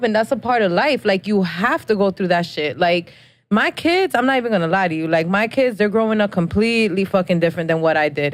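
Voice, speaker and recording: A woman from New Jersey talks bluntly and swears freely in casual podcast chat. Her voice sits at a middle pitch for a woman. It is recorded close and dry. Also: dry recording. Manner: blunt, profane and emphatic. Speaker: podcast co-host.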